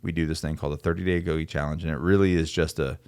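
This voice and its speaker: A man in his thirties hosting a casual podcast, talking earnestly and emphatically with many restarts, on a studio boom mic. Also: fairly even intonation, rapid-fire, a little mellow, clean tone, dry recording. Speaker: podcast host and coaching company owner. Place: podcast studio, dark backdrop. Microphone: studio mic on boom arm with headphones.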